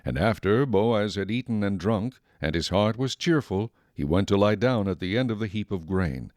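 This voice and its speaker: Low-voiced male scripture narrator. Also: animated, moderate pace, a little mellow, no room sound, studio quality.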